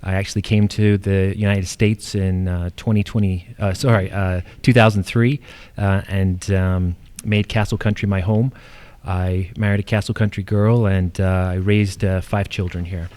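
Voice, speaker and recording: A middle-aged man with a British accent giving a halting candidate's introduction at a public forum, often hesitating and repeating words. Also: deep voice, little pitch movement, darkish tone. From United Kingdom. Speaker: political candidate.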